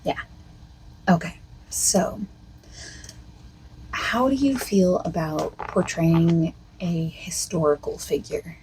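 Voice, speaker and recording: A woman speaking softly and partly in whispers as a costume consultant in an ASMR roleplay, with a hesitant, filler-heavy way of talking. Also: expressive, slow, pauses often, slight background noise, low-quality recording. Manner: soft-spoken, drifting between whisper and low voice. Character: female costume consultant asking the listener questions.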